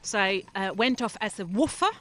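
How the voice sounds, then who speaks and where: A woman's voice: medium pitch, lively intonation, moderate pace. A woman from southern Germany, speaking English in a cheerful way while driving a horse carriage for an interview. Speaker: interviewee, farmer. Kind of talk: casual interview chat. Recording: faint outdoor noise, farm animals.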